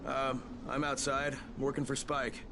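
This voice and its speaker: A man's voice: mid-range voice, flat delivery, unhurried, natural voice. A man playing a plain-spoken, willing newcomer in a video game, casual and questioning. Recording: clear background noise.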